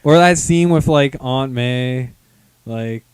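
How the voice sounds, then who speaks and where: A young adult man on a review panel, chatting casually and explaining his points in a measured, wry way. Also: medium pitch, smooth, no echo. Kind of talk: casual group review chat.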